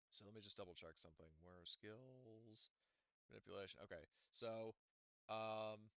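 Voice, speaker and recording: A man's voice: fairly low pitch, a bit bright, clear, resonant tone, no room sound. A man running a tabletop roleplaying game as game master, talking casually in rambling runs full of fillers, restarts and the odd swear word. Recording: dull lo-fi recording, dry.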